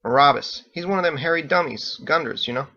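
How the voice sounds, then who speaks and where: A man's voice: on the high side, fast talker, pushed and bright, muffled recording, voice pushed up. A man voicing a brash, touchy, boastful male cage fighter in an audiobook, talking in emphatic slang. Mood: casual. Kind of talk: character voice in audiobook narration.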